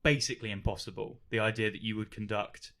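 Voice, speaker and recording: A chatty man from rural England with an English accent hosts a casual interview podcast. His voice sits fairly low. He comes across as conversational and self-deprecating. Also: fairly even intonation, fast talker, noisy background. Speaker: podcast host.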